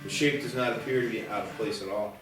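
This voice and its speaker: A man reading screenplay stage directions in a deliberate, dramatic narration. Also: fairly low pitch, fairly even intonation. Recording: very echoey, distant mic, music behind.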